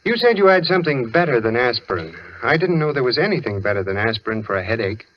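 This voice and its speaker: Actor as an animated, uneasy everyman husband. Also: mid-range voice, quick pace, a little hiss or hum, natural voice. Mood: matter-of-fact. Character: ordinary, uneasy husband visiting a sanitarium. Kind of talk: old-time radio thriller acting. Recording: muffled vintage radio recording.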